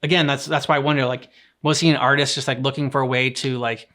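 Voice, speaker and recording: A man co-hosting a game reaction show, chatting casually in a halting, loosely worded way as he thinks aloud. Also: mid-range voice, level tone, pushed and bright. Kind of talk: casual chat.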